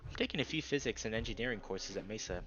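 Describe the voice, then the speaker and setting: A man's voice: fairly low pitch, expressive, quick pace, a bit bright, highs cut off. A man voicing a nerdy, earnest male salamander writer in a visual novel. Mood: earnest, enthusiastic. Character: nerdy male salamander sci-fi writer, defensive but enthusiastic. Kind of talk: visual novel character dialogue.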